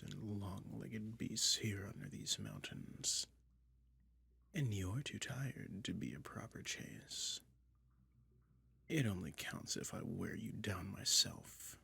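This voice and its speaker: A man voicing a sly, soothing dragon who toys with a listener in a soft-spoken ASMR roleplay, breathy and whispery with a slow, coaxing delivery. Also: lowish voice, heavily acted. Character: sly, soothing male dragon lurking in a cave, toying hypnotist.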